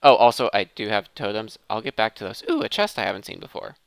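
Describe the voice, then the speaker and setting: A man's voice: on the low side, sing-song, fast talker, gravelly, a little hiss or hum. A man giving casual gameplay commentary, thinking aloud and often interrupting himself mid-thought. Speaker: gaming video host.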